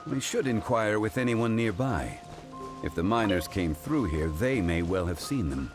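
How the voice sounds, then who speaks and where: A low, steady male voice with some lift in his intonation, formal and measured. An actor plays a dignified, composed gentleman. Faint game music sits underneath. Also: lightly acted. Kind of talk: video game voice acting.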